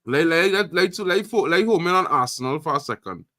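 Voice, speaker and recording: A man from Trinidad hosts a casual live football stream, talking loudly and excitedly with repeated catchphrases. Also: on the high side, a little fast, dry recording, studio quality. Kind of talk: casual live sports chat.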